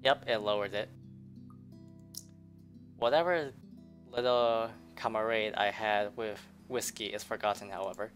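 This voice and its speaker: A woman reading a visual novel aloud in a game playthrough, voicing the inner narration with quick reactions. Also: extremely low pitch, soft background music. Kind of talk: let's play narration.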